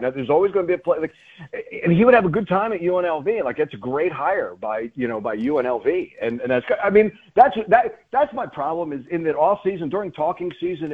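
A man's voice: a little high, slightly rough. A man giving sports radio analysis as a play-by-play commentator, emphatic, often repeating words and asking rhetorical questions. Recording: muffled phone-like radio line.

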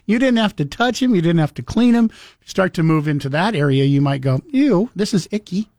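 A man's voice: high-pitched, lively intonation, brisk, a little mellow, dry recording, clean studio sound. A man co-hosting a casual morning radio show, chatty and storytelling, laughing often. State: recovering from lost voice.

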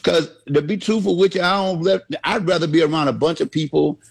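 A man co-hosting a talk radio show, talking in a halting way with many restarts. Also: a little high, creaky, slightly rough. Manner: halting, restarts often, laughs easily. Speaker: radio co-host. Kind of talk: casual talk radio banter.

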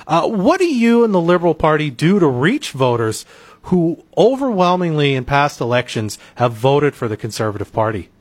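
A man's voice: fairly high pitch, big pitch swings, normal speed. A man hosting a local radio interview show, asking questions in a hesitant way with many filler sounds. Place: radio studio.

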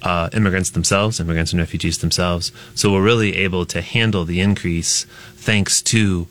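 A man with a notably low voice speaks as a guest in a radio studio. He is upbeat and informative, a nonprofit director laying out his numbers with ease. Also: steady tone, normal speed, a bit bright. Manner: upbeat, informative, rattles off figures. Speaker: executive director of a literacy nonprofit.

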